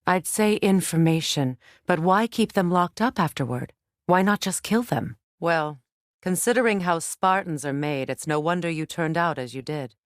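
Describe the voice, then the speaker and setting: A woman playing a proud, guarded warrior goddess in a video game, speaking in a measured, commanding, solemn manner. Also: on the low side, dry recording, studio quality. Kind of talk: dramatic video game acting.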